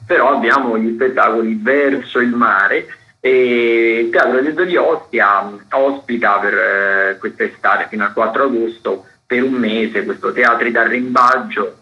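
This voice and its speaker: A man's voice: medium pitch, dull audio. A man presenting a casual events roundup as a theatre critic on radio, chatty and improvised, often correcting himself.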